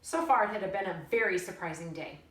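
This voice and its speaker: A woman teacher reading a story aloud in an expressive storytelling style. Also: mid-range voice, brisk. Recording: roomy echo, distant mic.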